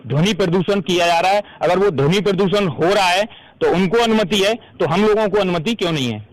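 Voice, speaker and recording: A man's voice: sits high, fairly quick, no echo, rough recording. A man being interviewed as an activist, arguing his case in a raised, argumentative voice. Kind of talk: street interview answer.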